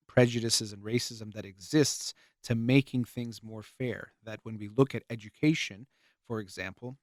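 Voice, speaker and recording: A man from the United States giving an earnest, measured spoken monologue, often pausing and restarting his phrases, while losing his voice. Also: medium pitch, a little mellow, no room sound, studio quality.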